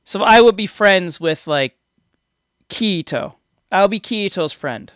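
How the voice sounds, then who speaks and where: This man has a high, boyish voice that sits lighter than most men's. He talks in a loose, rambling way and often makes fun of himself. He is close on a desk mic with no room sound at all. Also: expressive, measured pace, a bit bright, no room sound. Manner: rambling, self-deprecating, laughs often. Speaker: reaction streamer. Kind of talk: casual reaction commentary. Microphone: desk microphone.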